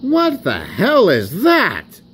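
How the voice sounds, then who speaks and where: Man putting on snooty male storybook engine, indignant, scandalized, high pitch. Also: huge pitch swings, slow, a little hiss or hum, exaggerated voice. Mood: appalled. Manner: snorting, indignant.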